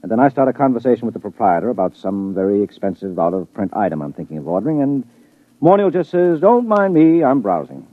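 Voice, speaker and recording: A man's voice: on the low side, very animated, fast, no room sound. A man playing a rambling, apologetic poet in a 1950s radio drama. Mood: sheepish. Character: sheepish male poet, chatty and guilty. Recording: thin phone-like old radio sound.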